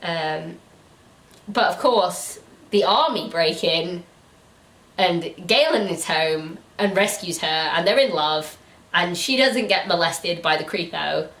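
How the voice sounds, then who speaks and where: A woman's voice: lowish voice, takes it slowly, a bit bright. A British woman hosting a casual, comedic book review chat, giggly, incredulous and free with swearing. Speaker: host of a book review show. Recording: roomy echo, distant mic.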